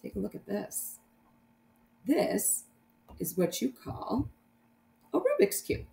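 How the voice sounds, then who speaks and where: A woman's voice: mid-range voice, huge pitch swings, slow, gravelly, warm tone. A woman teacher explaining things informally to young children, often checking in with short tag questions. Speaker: primary math teacher.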